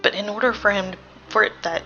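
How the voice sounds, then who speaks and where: A woman giving casual game reaction commentary, creaky-voiced, analytical and sweary as she thinks aloud. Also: medium pitch, fairly even intonation, fairly quick, sharp tone, muffled recording, faint music behind.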